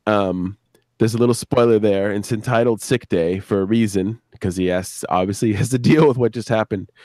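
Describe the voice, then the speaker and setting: A man with a fairly low voice talks casually on a live stream. The sound is very dry. He hesitates, restarts to find the right word, and swears freely as he explains. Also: normal speed, darkish tone, dry recording. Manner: hesitant, searching for words, frank and profane. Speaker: show creator and podcast guest.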